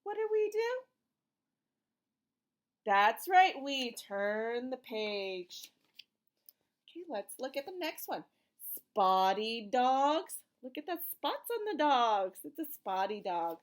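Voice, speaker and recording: Female children's read-aloud teacher, animated swoopy intonation, unhurried, encouraging question-and-answer delivery. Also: fairly high pitch. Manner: simple, encouraging prompts with questions and slow, emphatic reading. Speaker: teacher reading a picture book to young children.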